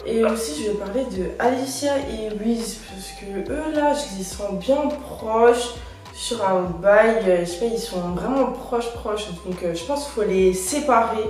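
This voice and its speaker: A woman's voice: on the high side, a little slow, a little mellow. A woman on a reality show, talking bluntly and scheming in casual slang. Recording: echoey room, from far off, music behind.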